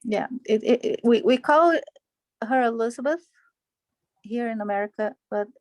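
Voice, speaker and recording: A woman's voice: a little high, moderate pace, no room sound. Brazilian-accented mother, hesitant, on a dry call. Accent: Brazilian Portuguese. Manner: hesitant, stumbling. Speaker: parent. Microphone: video call.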